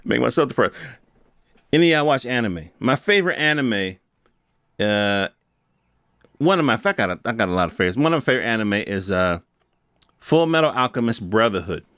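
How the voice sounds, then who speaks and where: A man's voice: mid-range voice, lively intonation, brisk, long pauses, fairly bright tone, no room sound, clean studio sound. A man hosting a podcast, giving a casual, unscripted opinion rant in informal, slangy speech with some swearing and questions thrown at his listeners. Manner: rhetorical questions addressed to listeners. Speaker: podcast host.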